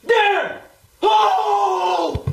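A man's voice: fairly even intonation, drawn-out words, reverberant, heavily acted. A man voicing a furious male basketball star screaming rants at his teammates in a small paneled room. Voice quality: shrill, high strained yelling.

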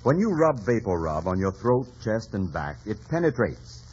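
Man, old-time radio announcer, husky, emphatic sales delivery, lo-fi sound. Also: on the low side, sing-song, moderate pace, a little mellow. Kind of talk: 1940s radio advertising read. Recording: dull old radio recording.

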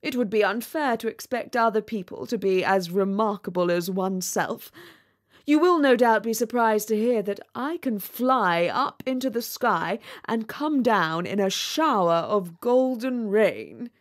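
Woman doing a vain boy rocket from a storybook, snooty and pompous, talks real slow. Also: medium pitch, expressive, close to an everyday voice. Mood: smug, boastful. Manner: pompous, condescending. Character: vain, haughty male firework rocket, self-important. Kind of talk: storybook character reading.

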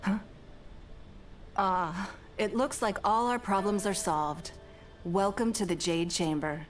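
An actress voicing a poised, commanding female noble leader in a video game, speaking in a measured, authoritative way. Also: mid-range voice, fairly even intonation, faint music behind, close to an everyday voice. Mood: composed.

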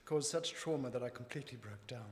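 A middle-aged man with a Zimbabwean accent, reading his personal testimony from notes at a church lectern in a measured, earnest way. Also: mid-range voice. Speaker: church member giving testimony. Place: church hall with raised stage. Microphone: lectern microphone. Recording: echoey hall from lectern mic.

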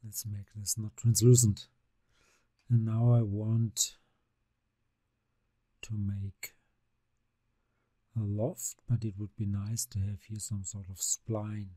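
A man, narrating a screen-recorded software tutorial step by step, calmly describing each click. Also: fairly low pitch, dry recording. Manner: unhurried, step-by-step narration of mouse actions. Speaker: CAD software instructor.

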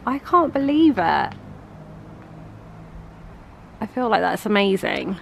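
A woman chatting casually outdoors in a garden, laughing now and then in a lively way. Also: medium pitch, slow pace, creaky, background noise. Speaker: home renovation vlogger.

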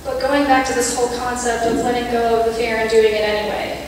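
A young woman giving an earnest, measured reflection from a church pulpit, with light self-deprecating asides. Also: on the high side, fairly even intonation, a little hiss or hum. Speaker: youth speaker. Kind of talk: prepared reflection read in church. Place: large church sanctuary, at a pulpit. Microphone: pulpit mic, camera far off. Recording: echoey church hall from far off.

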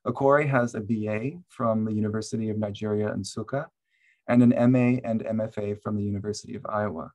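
Male moderator on a video call, low voice, reads a formal intro steadily. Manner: steady, measured reading.

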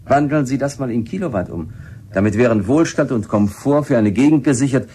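An actor playing a confident, genial cave researcher in a German radio play. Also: male voice, mid-range voice, animated, fairly quick, warm tone, slightly rough. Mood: confident, enthusiastic.

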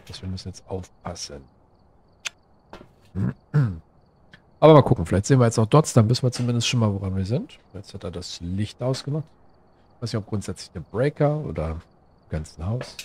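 Male gamer, lowish pitch, casual thinking-aloud commentary, faint noise under the voice. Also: darkish tone. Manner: musing, thinking aloud in short phrases. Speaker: gaming streamer playing solo. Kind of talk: casual let's play commentary, muttering to himself.

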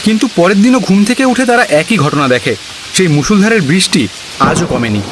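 A man's voice: high voice, sing-song, fairly quick, a little hiss or hum. A man narrating a scripted cartoon story as a storyteller.

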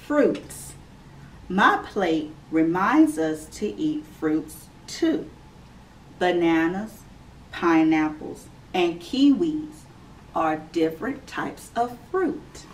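Woman, 30s, preschool teacher, storytime read-aloud, slow, sing-song, slightly low voice, a little distant from mic. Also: sing-song. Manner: slow, clear and sing-song for young children. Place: classroom indoors. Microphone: camera a few feet away. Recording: slightly distant camera mic with faint room noise.

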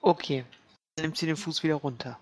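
Low-voiced young woman playing a tabletop game. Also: brisk, darkish tone, breathy edge, no echo. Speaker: tabletop role-player. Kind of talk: casual play, describing her character's actions.

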